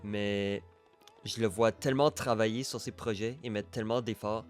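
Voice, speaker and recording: A young man with a Quebec French accent and a fairly low voice talks casually, giving a testimonial as a friend. Faint music plays underneath. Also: fairly even intonation, moderate pace. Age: young adult.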